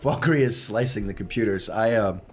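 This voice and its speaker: Male game player, slightly deep voice, informal conversational delivery. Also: brisk. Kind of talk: casual game chat.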